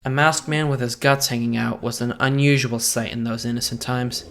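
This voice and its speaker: A man's voice: mid-range voice, steady tone, moderate pace, vocal fry, background noise, close to an everyday voice. A man voicing a geeky, idealistic teenage boy who narrates a comic dub in a wry, self-deprecating way. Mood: wry, reflective. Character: geeky, idealistic teenage boy, self-made masked hero, wryly sardonic. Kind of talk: first-person narration and dialogue in a comic dub. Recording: loud music under the voice.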